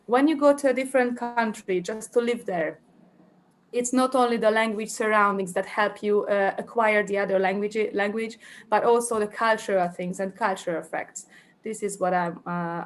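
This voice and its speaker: Hesitant Hungarian woman presenting a talk. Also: mid-range voice. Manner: hesitant, many fillers and restarts while thinking aloud. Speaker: conference presenter. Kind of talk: academic conference presentation.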